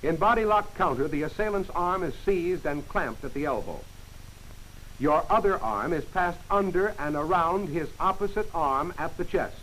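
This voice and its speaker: High-voiced man narrating an old film. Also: animated, normal speed, a bit bright, low-quality recording. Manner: crisp, clipped commands. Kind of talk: scripted instructional narration. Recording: hissy old film soundtrack.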